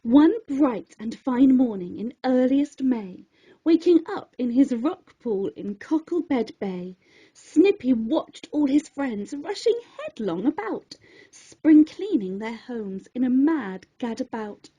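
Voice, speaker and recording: A woman storyteller narrating a rhyming children's story in an expressive, theatrical and deliberate way. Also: sits high, husky, dull audio.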